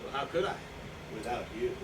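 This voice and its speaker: A man in a staged reading playing a boastful, defensive, hard-drinking old writer, rambling and halting as he justifies himself. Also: mid-range voice, expressive, natural voice. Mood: wry reminiscing. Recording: distant echoey room, noisy draft recording.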